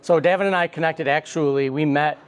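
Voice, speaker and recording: A man's voice: fairly high pitch, fairly even intonation, fairly quick, clean tone. A man from Michigan in his forties, a life coach telling his story in an interview, earnest and often repeating words as he starts. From Michigan, USA.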